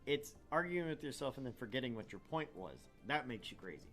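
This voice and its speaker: A man's voice: mid-range voice, very animated, a little fast, a bit bright, faint music behind. A man reading a visual novel aloud as narrator, dropping in wry, joking casual banter. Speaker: let's play host narrating a visual novel.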